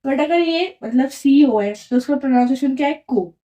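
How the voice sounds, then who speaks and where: A woman's voice: high voice, moderate pace, mellow, highs cut off. A woman teaching French, explaining patiently and often checking in with the listener. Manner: patient, repeats and checks in with "right?". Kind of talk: explaining a lesson at a whiteboard.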